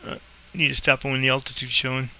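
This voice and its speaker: Male game tutorial host, informal and rambling. Crisp, very forward tone, little melodic movement, switches into controller phrasing. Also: medium pitch, little pitch movement, fast talker, pushed and bright, a little hiss or hum. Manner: informal, rambling, thinking aloud. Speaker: host explaining a game and playing air traffic controller. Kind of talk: casual screen-recorded game tutorial with radio calls.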